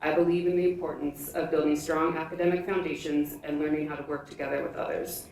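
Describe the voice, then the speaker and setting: A woman with a low voice delivers a formal, scripted candidate statement at a forum. She is picked up from far off in a heavily reverberant room. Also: steady tone, fast, vocal fry, a little mellow. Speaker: school board candidate. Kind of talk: prepared campaign statement at a forum. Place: indoor public forum room. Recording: echoey room from far off, faint noise.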